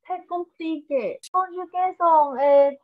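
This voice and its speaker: A Taiwanese woman whose first language is Mandarin, speaking French slowly and hesitantly on a video call. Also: very high voice. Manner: slow, hesitant French learner with many pauses. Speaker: interview guest. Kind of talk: casual interview chat. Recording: tinny phone-like line.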